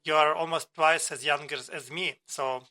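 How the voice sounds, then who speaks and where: A man in his fifties hosting an interview, speaking haltingly with many fillers, restarts and non-native phrasing. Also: fairly high pitch, steady tone, bright, forceful tone. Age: 50s. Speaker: host. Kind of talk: casual interview talk.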